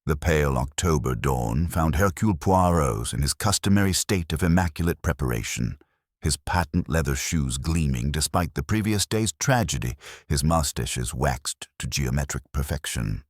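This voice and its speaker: A man narrates a detective story in a steady, formal way. His voice is very deep yet lively in pitch. The recording is close and dry. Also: normal speed, no echo. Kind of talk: scripted mystery narration.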